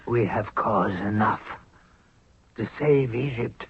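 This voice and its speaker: An actor playing a cold-blooded, scheming royal courtier in a 1970s radio drama, persuasive and deliberate. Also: male voice, fairly low pitch, breathy edge, no room sound, exaggerated voice. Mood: cold plotting. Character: scheming, cold-blooded male courtier plotting murder of the pharaoh. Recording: narrow, tinny 1970s radio recording.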